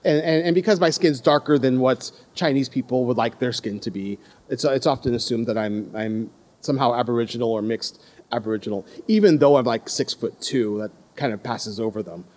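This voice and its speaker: A man's voice: mid-range voice, fairly quick, darkish tone. A man in his thirties from Los Angeles with an American accent, telling personal stories casually, often laughing and restarting his sentences. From Los Angeles, USA. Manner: laughing, self-deprecating, many restarts.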